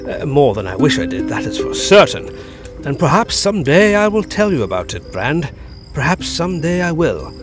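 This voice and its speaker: An actor playing a squire's son in an audio drama, a wary, formal and reserved gentleman. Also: on the high side, sing-song, normal speed, faint music behind. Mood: reflective, guarded. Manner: formal, guarded.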